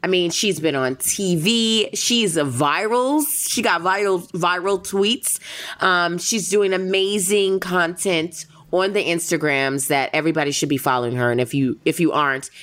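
A woman's voice: on the low side, moderate pace, fairly bright tone. A woman hosting a podcast, chatting casually in a playful, teasing way. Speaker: podcast host.

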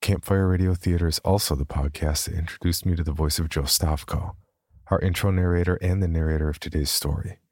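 Male podcast host, very low and husky, reading announcements in a dry, close setting. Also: steady tone, fairly quick, a little mellow, no echo.

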